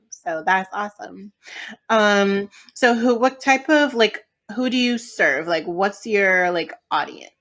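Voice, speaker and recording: A woman hosting a casual interview, relaxed and filling her questions with "like" and "um". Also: medium pitch. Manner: relaxed, full of fillers like "like" and "um". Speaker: interview host.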